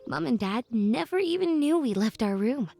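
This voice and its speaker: A woman's voice: fairly high pitch, animated, moderate pace, mellow. A young woman playing a student who narrates her journey from home in a reflective, scripted storytelling manner for a game. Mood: wistful. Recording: music behind the voice. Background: music.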